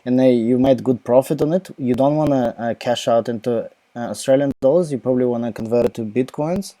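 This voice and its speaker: A young Ukrainian-accented man answers questions live from home into his laptop microphone. His voice is very dark and mellow, and the sound is dry and close. He rambles casually, full of fillers and false starts. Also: mid-range voice, a little fast, no room sound. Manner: rambling, many fillers and restarts. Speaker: crypto live Q&A host. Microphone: laptop mic.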